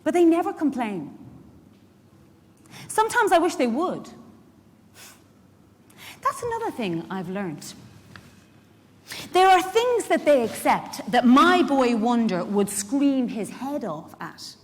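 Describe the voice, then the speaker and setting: A woman's voice: sits high, big pitch swings, slow, long pauses, heavily acted. A woman with an Irish accent performing a live dramatic monologue as a feisty, protective care worker, in a conversational, wry manner. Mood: indignant, pleading. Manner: conversational, wry, rhetorical questions to the audience. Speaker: actor in a dramatic reading. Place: large indoor event hall. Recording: echoey hall, live stage reading.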